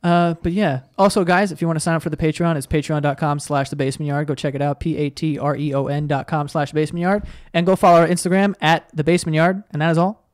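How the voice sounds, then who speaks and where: Young guy podcast host, talks fast, slightly high voice, joking around on a studio mic. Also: steady tone, no echo. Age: young adult. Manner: joking, quick, wraps up the show. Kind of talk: casual chat. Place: small studio with brick wall. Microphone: studio mic on desk stand with headphones.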